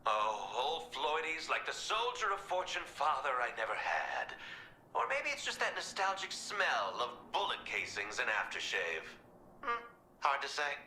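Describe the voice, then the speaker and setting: An actor voicing a manic, mocking psychopathic clown villain in a video game, with theatrical delivery. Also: male voice, mid-range voice, huge pitch swings, a little slow, pushed and bright, roomy sound, soft background music, full character voice, poor audio. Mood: playful taunting.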